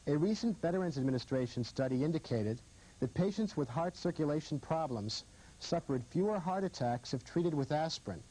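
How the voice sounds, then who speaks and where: Male American physician-host, formal scripted TV narration, soft-edged mellow tone with some huskiness. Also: on the high side, expressive, husky, poor audio. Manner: formal, measured presenting.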